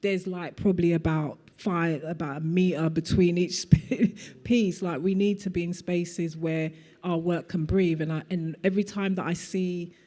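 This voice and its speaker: A woman's voice: lowish voice, fairly even intonation, normal speed, hardly any pauses, dark tone. A woman artist answering a question at a live panel talk, earnest and full of "like" fillers. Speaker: guest artist. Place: event hall. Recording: long reverb of a hall.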